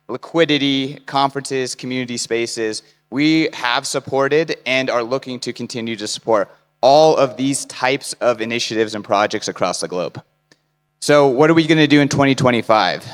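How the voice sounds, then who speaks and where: Brief: young male keynote speaker, smooth clear voice, earnest pitch to a crowd, big hall echo. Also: a bit bright. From United States. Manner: earnest, persuasive, lists points in short phrases. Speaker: conference speaker. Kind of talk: conference keynote talk. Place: large conference hall. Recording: hall mic with long reverb.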